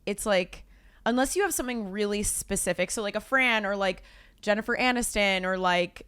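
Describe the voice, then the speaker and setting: A woman's voice: medium pitch, normal speed, fairly bright tone, smooth, dry recording. A woman comedian chatting casually as a podcast guest, often breaking off and restarting her thoughts.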